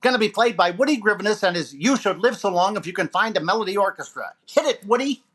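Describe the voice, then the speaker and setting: A man's voice: high-pitched, animated, fast talker, loud music behind the voice. Brash wisecracking male radio comic as acted by a man, 1940s style, jive-talking disc jockey with showy, punchy announcer patter. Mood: upbeat, showy. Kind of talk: 1940s radio comedy acting.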